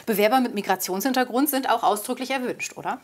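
A woman's voice: a little high, quick pace. Woman presenter asking concise questions. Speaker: news presenter. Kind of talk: studio interview and moderation.

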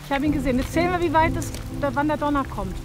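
A woman's voice: high voice, quick pace. A woman presenting a travel show, asking her guide eager, curious questions while hiking outdoors. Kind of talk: casual chat on a hike. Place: outdoors on a mountain trail. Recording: background music under the talk.

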